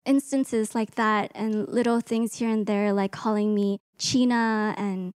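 A woman's voice: medium pitch, fairly even intonation, a little slow, darkish tone, no echo, studio quality. A young woman from Hong Kong, a student, telling her personal story in an earnest, reflective way. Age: young adult. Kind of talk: personal testimony.

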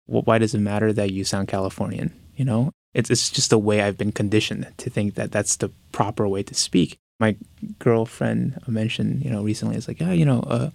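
A man in his twenties who grew up in America, speaking in an interview in a careful, hesitant way, pausing and restarting often. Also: on the low side, fairly even intonation, vocal fry, a little mellow, no echo. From United States. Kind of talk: personal interview talk.

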